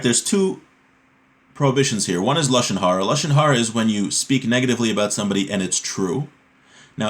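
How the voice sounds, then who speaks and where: A man giving an informal religious lesson, reading a text aloud and explaining it step by step, often checking that listeners follow. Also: mid-range voice. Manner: explanatory, checks understanding with rhetorical tags like "right?".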